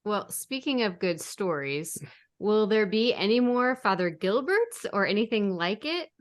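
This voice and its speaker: A woman's voice: mid-range voice, sing-song, a little slow. A woman co-hosting a podcast, speaking in a conversational interviewing style. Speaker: podcast co-host.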